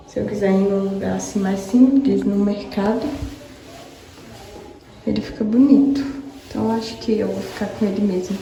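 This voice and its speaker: A young woman with a hoarse voice from a cold, chatting casually and affectionately to her viewers in a vlog. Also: mid-range voice, warm tone, rough recording. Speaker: vlogger. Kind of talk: casual vlog talk to camera.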